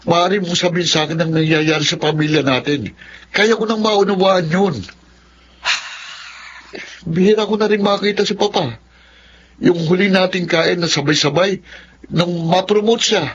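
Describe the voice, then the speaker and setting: A man's voice: high-pitched, moderate pace, long pauses, fairly bright tone. A man playing a concerned, questioning son in his twenties in a Filipino radio drama, earnest and pressing. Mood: earnest, insistent. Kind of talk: Filipino radio melodrama acting. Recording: dull lo-fi audio, clear background noise.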